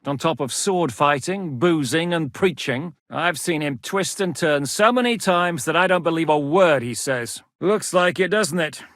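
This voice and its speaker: A gruff, wary warlord commander. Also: male voice, on the high side, animated, a little slow, hardly any pauses, fairly bright tone, no echo. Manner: blunt, weary, curses freely. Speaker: game character voice lines. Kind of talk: video game voice acting. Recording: dry studio voice recording.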